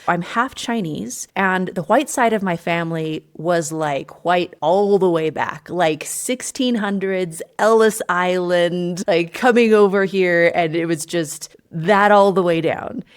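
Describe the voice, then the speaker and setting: A woman's voice: lowish voice, slow pace, few pauses, clean tone. A woman from Utah, an executive coach, telling her life story as a podcast guest in an earnest, candid way. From Utah, United States. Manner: warm, earnest, candid, with frequent "like"s. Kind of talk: personal storytelling in an interview.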